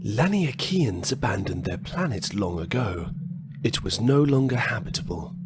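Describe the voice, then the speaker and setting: A man's voice: fairly low pitch, sing-song, moderate pace, background noise. A man playing an alien artificial intelligence in a sci-fi audio drama, with a formal announcing manner. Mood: measured, informing.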